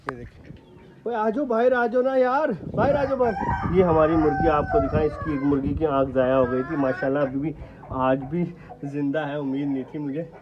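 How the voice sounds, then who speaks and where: A young man vlogging his poultry yard on a phone held at arm's length, chatting and calling out loudly to his birds. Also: fairly high pitch, moderate pace. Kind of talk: casual selfie vlog. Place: rooftop poultry yard outdoors, light rain. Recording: dull phone audio with outdoor noise.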